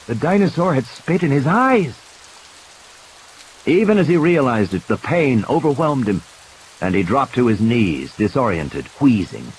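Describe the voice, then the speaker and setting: A man's voice: medium pitch, slight background noise, rough recording. A man narrating a novel in a theatrical, suspenseful storytelling style over background sound effects. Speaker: audiobook narrator. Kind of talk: scripted dramatic novel narration.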